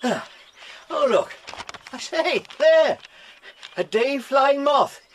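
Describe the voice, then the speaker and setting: An actor playing an amiable, absent-minded naturalist in a children's audio drama, polite and a little distracted. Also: male voice, very high voice, expressive, slow, full character voice. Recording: noisy old cassette tape.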